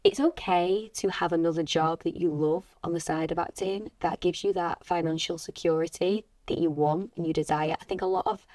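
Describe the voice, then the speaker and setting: A woman's voice: on the low side, fairly even intonation, normal speed, few pauses, darkish tone, dry recording. A British woman, an actor and coach, giving earnest, encouraging advice in a conversational interview.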